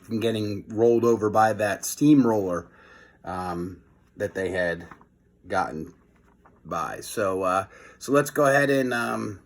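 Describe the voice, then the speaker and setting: A man hosting a children's read-aloud, moving between expressive storybook reading and informal, hesitant asides. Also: fairly low pitch, slow pace.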